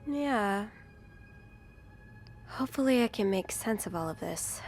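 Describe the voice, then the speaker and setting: An actress playing an earnest, uneasy young woman working as a hotel maid in a video game, speaking softly and a little hesitantly. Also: medium pitch, expressive, unhurried. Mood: pensive, curious. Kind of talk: video game dialogue acting.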